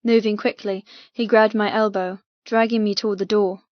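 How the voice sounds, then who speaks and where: A woman's voice: medium pitch, no room sound, lo-fi sound. Intense female audiobook narrator, very dry sound. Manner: intense, expressive, deliberate. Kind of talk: dramatic first-person fiction narration. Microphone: close mic in dry room.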